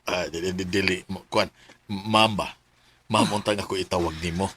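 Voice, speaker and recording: A man's voice: sits low, fairly even intonation, moderate pace, noisy background, close to an everyday voice. A man playing an awkward, polite mature boyfriend, hesitant and deferential, in a radio drama. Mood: nervous, polite.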